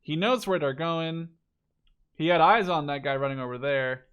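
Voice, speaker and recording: A man's voice: on the high side, normal speed, clean tone, highs cut off. A man giving casual, unscripted spectator commentary on a video game, thinking aloud in a rambling, speculative way.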